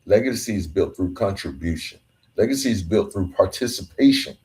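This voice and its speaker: A man's voice: low voice, lively intonation, moderate pace, a little mellow. A man hosting a podcast, delivering an emphatic motivational monologue with a repetitive preaching cadence. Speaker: podcast host.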